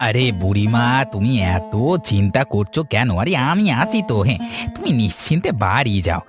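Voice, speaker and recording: A man's voice: on the low side, sing-song, a little fast, music in the background. A man voicing a glib, wheedling village man in a cartoon, with a cajoling, exclamatory manner. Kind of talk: Bengali cartoon voice acting.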